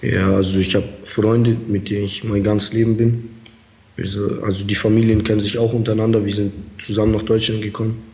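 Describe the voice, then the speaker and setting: A man's voice: fairly low pitch, level tone, brisk, warm tone. A young man from Kazakhstan answering interview questions as an inmate, hesitant with many fillers. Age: young adult.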